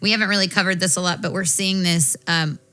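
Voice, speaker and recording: An American woman co-hosting a casual panel chat, talking briskly and frankly, swearing casually as she gives advice. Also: fairly low pitch, fairly even intonation, sharp tone. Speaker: podcast co-host.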